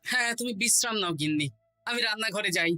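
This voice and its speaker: An actor voicing a witty, caring male court jester in a cartoon, playful and expressive. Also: mid-range voice, fast talker, clear, resonant tone, no echo, soft background music, lightly acted. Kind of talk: animated cartoon voice acting.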